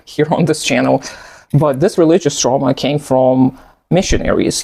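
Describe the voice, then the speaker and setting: A man in his thirties whose first language is Russian, giving thoughtful, measured personal commentary in English with an occasional soft laugh. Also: mid-range voice, gravelly. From post-Soviet country. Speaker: video essayist.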